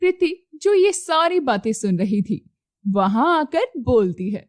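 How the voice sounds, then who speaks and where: A woman narrating a scripted moral story as an expressive storyteller. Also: high voice, unhurried, no echo.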